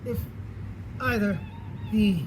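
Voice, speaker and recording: A man's voice: high voice, slow pace. A man performing as an earnest, dreamy husband in a comic stage play. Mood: romantic, earnest. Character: sleepy dreaming husband who fancies himself a romantic Romeo. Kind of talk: theatrical one-act stage comedy acting. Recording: noisy live stage recording.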